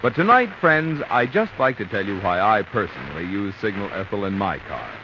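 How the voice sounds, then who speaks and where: Male 1940s radio announcer, lively folksy sales testimonial, muffled vintage recording with faint noise. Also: fairly low pitch, a little fast. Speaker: commercial announcer.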